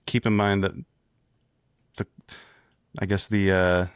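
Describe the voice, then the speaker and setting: A man's voice: deep voice, little pitch movement, slow, clear, resonant tone, dry recording, clean studio sound. A man co-hosting a casual history podcast, easygoing and conversational as he weighs scores with his partner. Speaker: podcast co-host. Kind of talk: casual chat.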